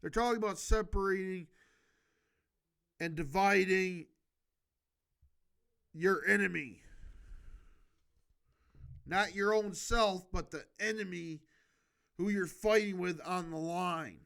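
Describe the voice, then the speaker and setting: A man's voice: high voice, slow, gravelly, pauses often, fairly bright tone, clear, resonant tone. A man reading a book aloud for a homemade video, stumbling and correcting himself, adding emphatic, casual exhortations of his own. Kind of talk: halting read-aloud with improvised casual commentary.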